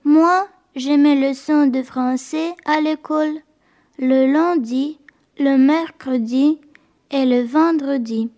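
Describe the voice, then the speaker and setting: A woman playing a polite, eager young schoolgirl in a scripted bilingual radio language lesson, speaking carefully and clearly. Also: high voice, slow pace, a little mellow, muffled recording. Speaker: pupil character in language programme.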